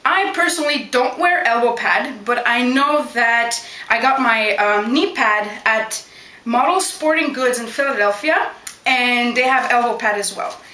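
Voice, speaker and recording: Bright-voiced young woman, chatty. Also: on the high side, measured pace. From Montreal, Quebec, Canada. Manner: chatty, direct, keeps asking "you know what?". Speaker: backyard wrestler. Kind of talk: casual Q&A vlog. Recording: echoey room.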